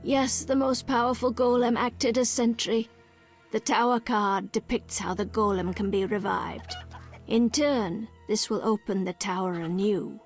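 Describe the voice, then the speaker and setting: An actress playing a solemn, cryptic female village elder in a video game, speaking in measured, archaic phrases. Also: medium pitch, fairly even intonation, soft background music, natural voice. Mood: grave storytelling.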